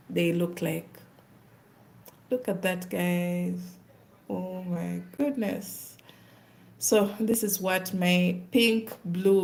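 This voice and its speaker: A woman's voice: lowish voice, creaky, darkish tone. A woman with a Ugandan accent, chatting casually on a live stream while she does craft work.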